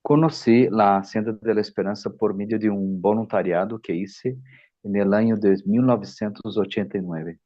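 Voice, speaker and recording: A man's voice: lowish voice, moderate pace, mellow, no room sound, muffled recording. A man working as an interpreter, relaying a speaker's words phrase by phrase. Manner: relays each phrase plainly. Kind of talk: consecutive interpreting.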